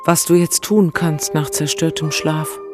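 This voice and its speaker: A woman reciting poetic fragments in a radio play, searching and meditative, with deliberate, halting phrasing. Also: low voice, fairly even intonation, music in the background.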